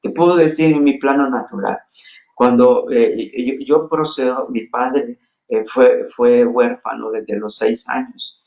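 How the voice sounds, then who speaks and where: A man's voice: mid-range voice, fairly even intonation, measured pace, vocal fry, darkish tone, dull audio. A man from Honduras with a Honduran Spanish accent, preaching a sermon earnestly with frequent restarts and fillers. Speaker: pastor.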